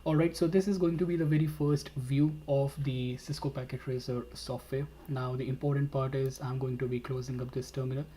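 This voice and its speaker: Flat, mellow-voiced man teaching a webinar. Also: mid-range voice, fairly quick, few pauses, slight background noise. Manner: steady explanatory talk with frequent fillers and "all right". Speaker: instructor. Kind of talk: webinar tutorial with screen demo.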